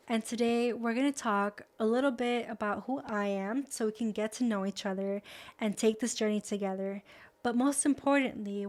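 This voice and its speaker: Upbeat young woman hosting a video. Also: on the high side. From Los Angeles. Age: 20s. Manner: upbeat and chatty, drops in Spanish words. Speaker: host. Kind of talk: friendly scripted video introduction.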